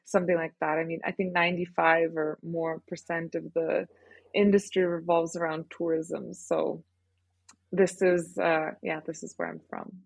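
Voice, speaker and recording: A woman in her thirties from Canada, talking things over as a podcast guest. Her voice sits low for a woman, and she is recorded close on a dry mic with no room sound. She answers in a thoughtful, reflective way and laughs easily. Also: level tone, normal speed, vocal fry, no room sound, rough recording. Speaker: guest, startup founder. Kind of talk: casual interview conversation.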